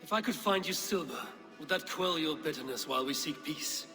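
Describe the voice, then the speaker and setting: A commanding, level-headed male Viking leader from a video game. The voice is forceful and slightly high, measured and firm, with music faintly behind it. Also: animated. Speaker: video game character actor. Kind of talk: dramatic game cutscene acting.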